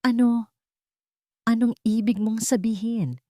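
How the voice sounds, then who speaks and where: A woman's voice: fairly high pitch, steady tone, slow pace, mellow, no echo, pitched above the performer's own voice. A hardened, weary young orphan woman from a dramatic story, acted by a female narrator, with a Filipino accent. She is terse, cold and guarded, on a dry recording. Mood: startled.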